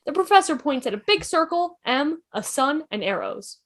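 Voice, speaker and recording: High-voiced woman narrator. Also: big pitch swings, normal speed, a bit bright. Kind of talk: scripted narration of stage directions.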